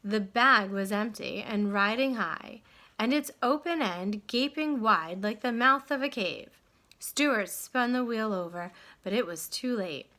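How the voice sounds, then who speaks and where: A woman reading a children's story aloud in an expressive, dramatic way with deliberate phrasing. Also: medium pitch. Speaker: storybook reader.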